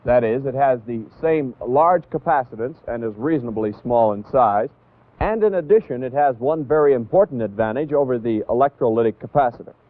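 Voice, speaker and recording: An American man narrating a 1960s instructional training film as an instructor, in a deliberate, didactic manner that stresses key points. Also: medium pitch, lively intonation, no room sound. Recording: tinny, band-limited 1960s training film audio.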